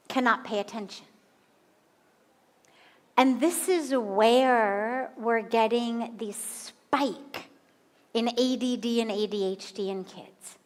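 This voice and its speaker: Woman in her fifties, American spiritual teacher, higher voice, unhurried teaching in a reverberant hall. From United States. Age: 50s. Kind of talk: satsang talk to an audience.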